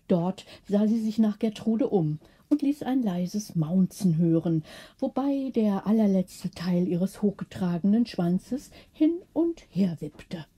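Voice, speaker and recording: Very mellow, husky woman storyteller. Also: mid-range voice. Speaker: audiobook narrator. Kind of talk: scripted bedtime story narration.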